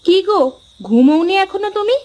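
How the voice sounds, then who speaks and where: A woman's voice: very high-pitched, lively intonation, normal speed, a little mellow. A woman voicing a spirited, plain-spoken village fisherman's wife in a cartoon story. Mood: concerned, defiant. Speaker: cartoon character voice. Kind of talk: Bengali cartoon story dialogue.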